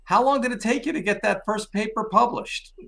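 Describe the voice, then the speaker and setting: A man's voice: high-pitched, brisk, creaky, bright, forceful tone. A man moderating a live question session as interviewer, with an informal, joking way of talking.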